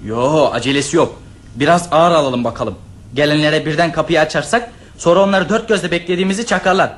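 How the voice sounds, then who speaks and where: A man's voice: a little high, fairly bright tone, natural voice. A man playing sly young schemer Hans. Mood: scheming. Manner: conspiratorial, quick-witted. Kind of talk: radio drama acting.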